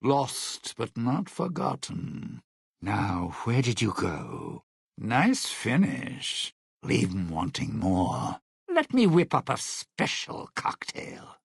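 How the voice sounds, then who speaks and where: An actor playing a smug, suspicious old lounge singer and thief in a video game, with a raspy, gravelly voice and a sardonic showman's delivery. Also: lowish voice, huge pitch swings, takes it slowly, no echo, studio quality, full character voice. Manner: sardonic, slangy showman's patter. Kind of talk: in-game character dialogue and epilogue narration.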